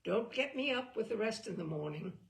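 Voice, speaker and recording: A woman's voice: a little high, animated, brisk, gravelly. A woman voicing a flippant, teasing teenage daughter in a short story reading, with a light, breezy manner.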